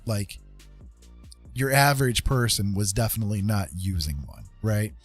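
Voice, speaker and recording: An American man hosting a livestream, chatting casually and explaining things in an informal, halting, rambling way. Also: fairly low pitch, animated, slightly rough, soft background music. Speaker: livestream host chatting with viewers.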